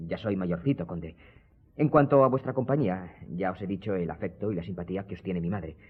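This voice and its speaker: An actor playing a polite, earnest young viscount in a radio drama, speaking with slight hesitation. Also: male voice, low-pitched, brisk, husky. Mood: earnest, admiring. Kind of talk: Spanish radio drama acting. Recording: dull lo-fi radio recording.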